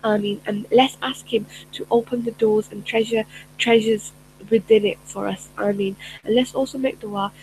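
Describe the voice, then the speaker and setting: A middle-aged woman whose first language is Urdu speaks earnestly and casually to her viewers as a personal development coach. She often pauses on fillers and restarts her sentences, with a faint hum in the background. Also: a little high, fairly even intonation, darkish tone, poor audio. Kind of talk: casual spoken talk to camera.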